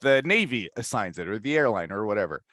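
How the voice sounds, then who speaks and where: A man's voice: fairly low pitch, very animated, fast talker, a bit bright, no echo, clean studio sound. A man talking casually as a panelist on a discussion podcast, restarting his phrases as he goes.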